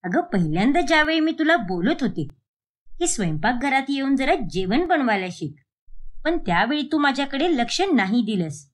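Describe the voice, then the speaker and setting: Woman acting a nagging mother, high animated voice. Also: normal speed. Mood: scolding. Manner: sharp, nagging, berating. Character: scolding, exasperated middle-aged Indian mother teaching her daughter. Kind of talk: animated moral story dialogue.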